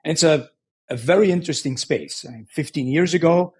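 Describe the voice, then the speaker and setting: A middle-aged man answering interview questions in a halting, explanatory way. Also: a little high, darkish tone, slightly rough. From Belgium. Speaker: podcast guest, engineering executive.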